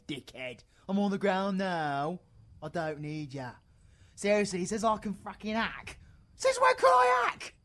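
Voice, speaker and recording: A man's voice: fairly high pitch, fairly bright tone, exaggerated voice. A British man playing a foul-mouthed, hot-tempered, sarcastic supervillain in a comic audio roleplay, ranting and exasperated.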